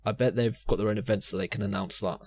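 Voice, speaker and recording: Low-voiced man in casual podcast chat. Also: fairly even intonation, fast talker, a little mellow. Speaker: podcast co-host. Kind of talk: casual panel chat about games.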